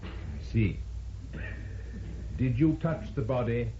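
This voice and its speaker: An actor playing a stern, relentless prosecuting barrister with a formal, insistent manner in an old-time radio drama. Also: male voice, mid-range voice, sing-song, slow, dark tone, faint music behind. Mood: probing. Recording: muffled, noisy, echoey old radio recording.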